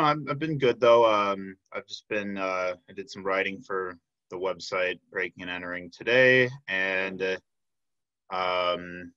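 Bright-voiced man hosting, lo-fi sound. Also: fairly low pitch. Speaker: podcast host. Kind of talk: casual interview chat.

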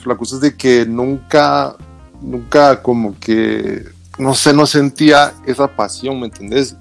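A man with a Guatemalan accent, an interview guest telling personal anecdotes in an informal, colloquial way. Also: medium pitch. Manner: informal, colloquial storytelling with tag words. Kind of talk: casual chat, personal anecdotes.

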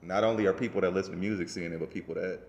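The deep-voiced guy running a homegrown podcast from a bare, echoing room, slangily hyping up his guest. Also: steady tone, very fast, a little mellow, muffled recording. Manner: slangy, affirming, repeats himself. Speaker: podcast host. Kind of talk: casual chat interview. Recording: echoey, reverberant room.

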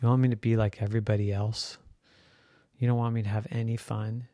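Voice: mid-range voice, little pitch movement, brisk, mellow, dry recording, studio quality, pitched below the performer's own voice, close to an everyday voice. An adult voicing a spoiled little girl in a bedtime story, whining and pleading over her stuffed toys. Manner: whiny, pleading.

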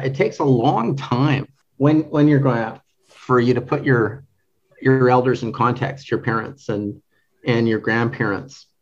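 Halting man in casual book talk, slightly muffled. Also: medium pitch. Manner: halting, hesitant, rephrasing as he goes. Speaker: interview guest, literary translator.

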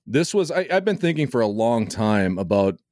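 A man with a slightly scratchy, hoarse voice, chatting casually on a podcast and rambling with frequent restarts. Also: lowish voice, sing-song, normal speed, darkish tone, no echo, studio quality. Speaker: podcaster guest. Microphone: home podcast mic.

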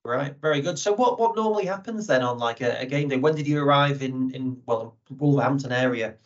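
A man's voice: mid-range voice, brisk, lo-fi sound. A man hosting an interview in a conversational way. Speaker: interviewer, host.

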